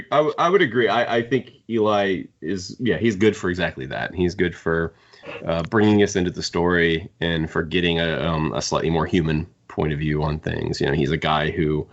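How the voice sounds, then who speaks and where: A man with a very low voice that crackles with fry talks casually as a podcast co-host. He feels his way through his points haltingly, on slightly muffled audio. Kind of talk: casual book discussion.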